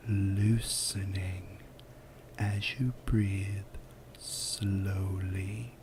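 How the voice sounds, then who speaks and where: Very slow, whispery low-voiced man. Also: noisy background. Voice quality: soft, whispery, breathy. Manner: soothing, unhurried, with long pauses. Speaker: guided relaxation narrator. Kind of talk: soft-spoken ASMR guided visualization.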